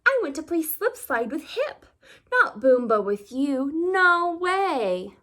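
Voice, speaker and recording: A playful five-year-old penguin big brother, put on by a woman, high in pitch and very lively. He talks assertively and a little bossily, in children's storybook style. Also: slow, full character voice. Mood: annoyed. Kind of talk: children's storybook read-aloud.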